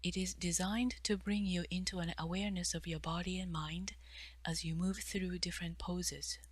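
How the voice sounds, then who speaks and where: A woman guiding a mindful stretching meditation in a soft, breathy voice, giving gentle, measured instructions with pauses and phrases that often fade toward a whisper. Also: on the low side, steady tone, no echo. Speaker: meditation guide, therapist.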